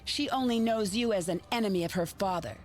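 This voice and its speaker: Brief: actress as a taunting villainous sister, cool and mid-pitched, game cutscene, light music under. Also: female voice, brisk, darkish tone, lightly acted. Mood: insistent. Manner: cold and taunting. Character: young woman, scheming ceremony sister, defiant. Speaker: game character. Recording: faint music behind.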